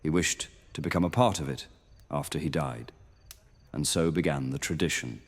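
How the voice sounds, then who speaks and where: A man's voice: a bass voice, normal speed, husky. A man playing a stern veteran monster hunter knight in a fantasy audio roleplay, speaking formally and solemnly. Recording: long hall reverb under music.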